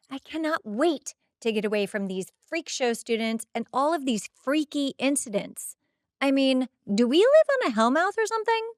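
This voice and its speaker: A woman's voice: medium pitch, no room sound, studio quality, natural voice. A woman playing a vain, snobby, scathing teenage mean girl, haughty and dramatic in a comic monologue. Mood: self-important. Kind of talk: in-character comic recap monologue.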